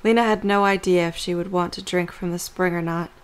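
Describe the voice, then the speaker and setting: A woman's voice: on the low side, fairly even intonation, fairly quick, clear, resonant tone. A woman narrator reading scripted story narration for an amateur audio drama.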